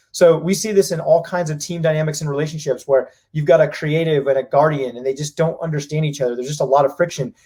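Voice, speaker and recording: Fast husky guy talking business on a live stream, acts out what people say. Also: a little high, warm tone. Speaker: company president.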